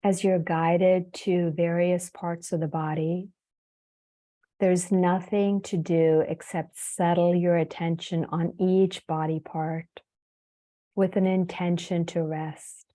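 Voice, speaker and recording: A woman leading a guided meditation as a yoga teacher, soothing and unhurried, leaving long pauses between phrases. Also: fairly low pitch, level tone, mellow.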